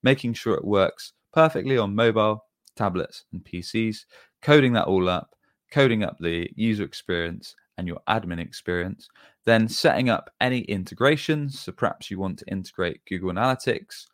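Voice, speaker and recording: Male tutorial presenter, lower register, informal explainer, very dry recording. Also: moderate pace, dry recording. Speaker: web design agency presenter.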